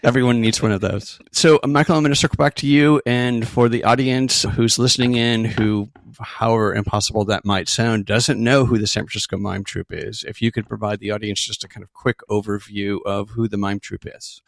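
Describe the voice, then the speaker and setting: Casting: American male host, deep-set voice with heavy fry, presenting interviews and introductions in a dry acoustic. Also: steady tone, fairly quick, no echo. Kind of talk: scripted introduction and interview narration.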